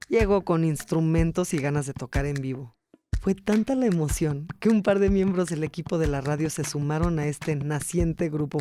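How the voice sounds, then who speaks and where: A man's voice: a little high, mellow. A man, reading scripted documentary narration as a podcast host. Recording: music bed behind narration.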